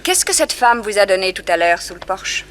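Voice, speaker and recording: An actress playing a detective's brisk, assertive assistant, self-assured and pointed, in a 1960s French radio crime drama. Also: female voice, medium pitch, expressive, fairly bright tone, close to an everyday voice. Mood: firm, challenging. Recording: noisy old radio drama recording.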